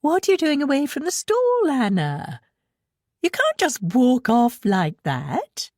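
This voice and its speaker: A woman's voice: high-pitched, huge pitch swings, takes it slowly, a little mellow, no room sound, studio quality, pitched above the performer's own voice, heavily acted. A woman voicing a tense, scheming wife and mother with a British accent, controlled and urgent, in an audiobook. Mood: stern.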